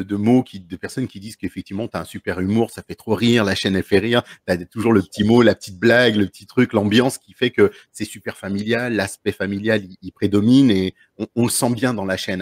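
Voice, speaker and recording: A chatty man in his thirties hosts a live stream from a room at home, speaking into a large desk microphone. His voice sits low and has a breathy, husky edge. The sound is dry and close, with no room echo. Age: 30s. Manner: chatty, encouraging, repeats himself. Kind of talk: casual live video chat.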